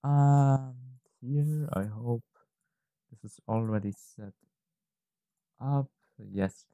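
A man narrating an unscripted software tutorial, thinking aloud haltingly and correcting himself as he goes. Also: medium pitch, no room sound. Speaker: tutorial presenter.

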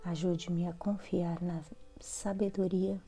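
A Brazilian woman leading a guided meditation as a devotional prayer, in a soft voice that turns breathy at phrase ends, with measured pauses between short phrases. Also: fairly low pitch, level tone. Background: soft background music.

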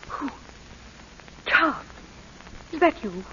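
Conflicted lady thief, high-pitched, poised, clipped, 1940s radio drama, muffled vintage recording. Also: expressive, very slow, pushed and bright, full character voice. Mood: tense, anguished.

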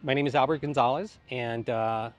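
A man's voice: mid-range voice, moderate pace. A man in his late fifties, a pilgrim answering interview questions in a rambling, self-correcting way, recorded outdoors on a lapel mic. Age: 50s. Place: outdoors in a sunny old town square.

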